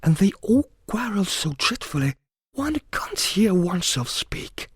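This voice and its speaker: A man's voice: high-pitched, big pitch swings, measured pace, slightly rough, dry recording, studio quality, pitched above the performer's own voice, full character voice. A man voicing a polite, anxious young girl in a storybook, with a prim, plaintive manner. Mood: complaining.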